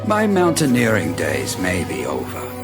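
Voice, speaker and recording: Old adventurer near the end, slow n solemn, wistful but determined, big music behind him, game monologue. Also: male voice, sits low, huge pitch swings, full character voice. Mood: resolute, hopeful. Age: older. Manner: solemn, measured, poetic delivery. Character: aged male adventurer near death, wistful but resolute. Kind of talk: dramatic monologue for a video game. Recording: loud music underneath.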